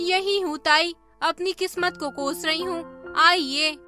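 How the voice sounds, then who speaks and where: Lady playing a grumbly poor village wife, high bright voice, cartoon drama. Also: fairly even intonation, slow pace, soft background music, heavily acted. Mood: complaining. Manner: complaining.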